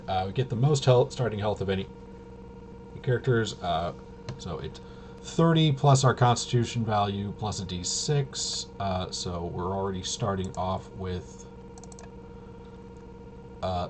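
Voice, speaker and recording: A man hosting a casual, unscripted tabletop game walkthrough, halting and rambling as he thinks aloud. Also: on the low side, noisy background. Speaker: host of a tabletop RPG channel.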